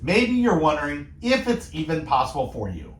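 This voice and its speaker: A male radio host speaking in a calm, soothing, meditative way, gently musing and asking reflective questions. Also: on the high side, moderate pace, echoey room, a little hiss or hum. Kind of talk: gentle devotional radio talk.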